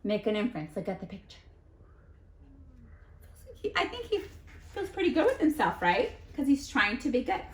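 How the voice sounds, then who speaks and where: A woman teacher giving an interactive read-aloud lesson for young children, expressive, often prompting with questions and repeating key phrases. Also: fairly high pitch, normal speed, pauses often. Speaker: elementary school teacher. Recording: echoey room.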